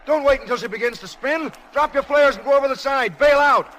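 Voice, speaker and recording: A man playing a gruff, protective airfield superintendent in a vintage aviation drama, barking his words forcefully. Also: extremely high pitch, a little fast, slight background noise. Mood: urgent.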